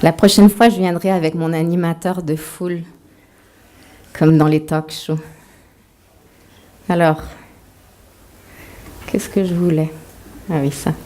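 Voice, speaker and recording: A woman's voice: on the low side, flat delivery, slow, long pauses, dark tone, a little hiss or hum. A woman author giving a talk to an audience, mixing a prepared lecture with playful, self-mocking asides.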